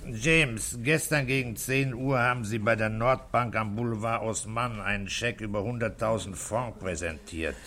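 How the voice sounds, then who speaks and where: An actor in a 1960s German radio play, playing a gruff, impatient police detective with a curt, dry, commanding manner. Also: male voice, lowish voice, normal speed, hardly any pauses, fairly bright tone. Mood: dry, insistent. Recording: noisy old 1960s radio recording.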